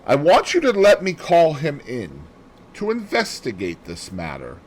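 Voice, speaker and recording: A man voicing an earnest, insistent gentleman in a mystery audiobook. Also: a little high, huge pitch swings, unhurried, slight background noise, voice pushed up, poor audio. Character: earnest gentleman narrator proposing a detective to friends.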